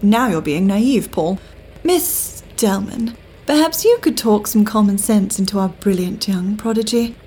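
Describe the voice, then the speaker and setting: A woman's voice: mid-range voice, expressive, measured pace, dark tone, a little hiss or hum, natural voice, low-quality recording. An actress with a British accent playing a cool, worldly 1930s government agent woman in an audio drama, genteel, dry and arch. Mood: brisk, arch. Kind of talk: full-cast audio drama acting.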